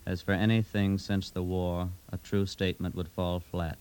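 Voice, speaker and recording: An actor playing a proud, curt outlaw ex-soldier reading a formal letter in a measured, declamatory 1950s radio drama style. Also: male voice, deep voice, flat delivery, darkish tone, clean tone, lightly acted. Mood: proud, firm.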